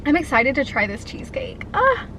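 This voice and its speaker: A woman's voice: sits high, huge pitch swings, brisk, bright, forceful tone, a little hiss or hum. A woman in her twenties chatting casually and eagerly while eating on camera, answering viewer questions. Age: 20s. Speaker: food vlogger. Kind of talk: casual mukbang chat.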